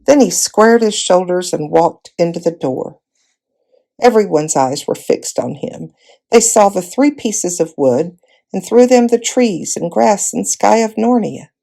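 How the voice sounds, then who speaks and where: Creaky-voiced woman reading a story, unhurried. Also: medium pitch, expressive, warm tone. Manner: acts out dialogue in character voices. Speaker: volunteer storybook reader. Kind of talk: expressive read-aloud of a children's fantasy novel.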